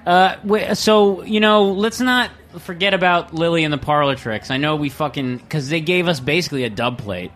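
A man's voice: fairly high pitch, normal speed, few pauses, a bit bright. A man hosting a casual festival podcast, rambling, swearing and cutting in on his co-host. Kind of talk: casual chat.

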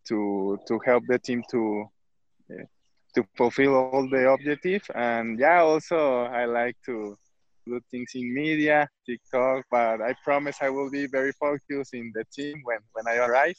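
A footballer from Mexico, speaking English with a Mexican Spanish accent, hesitant and searching for words. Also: male voice, mid-range voice, steady tone, measured pace, no room sound, muffled recording. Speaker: football player. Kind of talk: interview.

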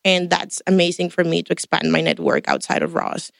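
A woman's voice: on the low side, sing-song, a little fast, gravelly, dry recording. A young woman from Mexico, chatting on a podcast as a guest in a chatty, detailed, upbeat way. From Tampico, Mexico. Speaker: guest, MBA student. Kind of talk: casual podcast chat.

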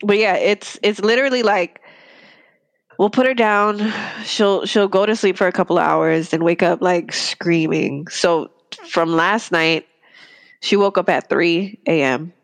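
Low-voiced woman telling a story casually. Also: a little slow, creaky. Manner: relaxed, conversational storytelling. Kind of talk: casual chat.

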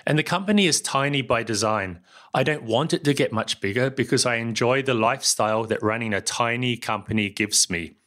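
A middle-aged man with a New Zealand accent tells his own story as the host of a solo podcast. His voice is bright and forward, and his pitch sits at an ordinary middle height. He reads from a script at a measured, plain pace.

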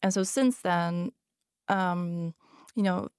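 A woman writer, a native Hungarian speaker who grew up in Sweden, speaks in a low, gravelly voice. She talks haltingly, pausing often and restarting her sentences. The sound is dry and close. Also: darkish tone, no room sound. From born in Hungary, raised in Sweden. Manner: hesitant, many fillers and restarts. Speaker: author guest. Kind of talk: podcast interview.

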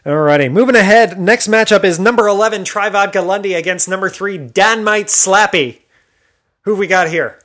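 Man, podcast host, upbeat, laughs a lot, slightly high voice. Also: normal speed, a bit bright. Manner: upbeat, laughing often, leads the matchups. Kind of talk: casual podcast chat.

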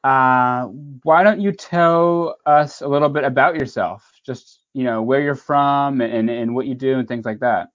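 Male podcast host, casual and rambling, mid-pitched, muffled remote audio. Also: fairly even intonation, measured pace, few pauses. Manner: loose, chatty, many restarts. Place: at home in Southern California.

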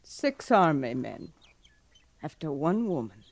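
A woman's voice: sits low, big pitch swings, slow pace, vocal fry, no room sound, exaggerated voice. An actress playing a bitter, defiant frontier widow in a 1950s radio western, scornful and folksy in her phrasing.

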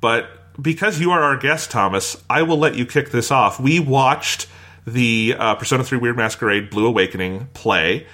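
A man's voice: medium pitch, moderate pace, sharp tone. A man hosting a podcast in a conversational style, introducing the show and guests. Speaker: podcast host.

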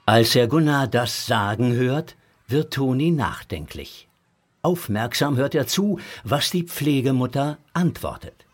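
A man's voice: mid-range voice, lively intonation, unhurried, slightly rough. A man narrating a scripted audio story for children. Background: music.

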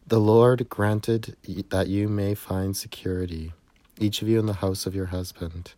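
A man reading a scripture narrative aloud in a measured way, recorded indoors in a church sanctuary. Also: sits low, fairly even intonation, warm tone, no echo. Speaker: scripture reader.

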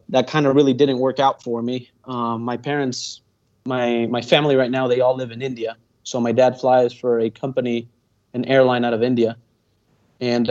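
A man's voice: medium pitch, a little mellow. Young man, rambling earnestly. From Venezuela. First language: Spanish. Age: 20s. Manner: earnest, rambling, with many fillers and restarts. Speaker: guest pilot. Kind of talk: casual interview answers. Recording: dull muffled audio.

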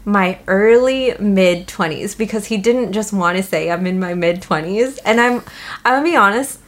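A woman in her mid-twenties co-hosts a casual podcast. Her voice sits at an ordinary pitch, and she teases her co-host and giggles through the chat. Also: moderate pace. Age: mid-20s. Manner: teasing, giggly.

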